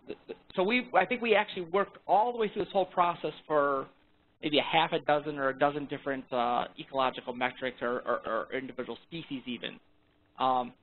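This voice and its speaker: Male presenter, higher-pitched, halting and repetitive, explaining technical work informally over a tinny phone conference line. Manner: halting, repeats words and rephrases often. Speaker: research presenter. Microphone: phone conference call. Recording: thin, band-limited phone conference line.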